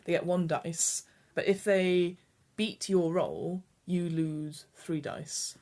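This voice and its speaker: Woman game master; slightly low, relaxed, descriptive delivery. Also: slow, a little hiss or hum. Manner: relaxed, descriptive, hesitant fillers. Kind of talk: narrating a tabletop game.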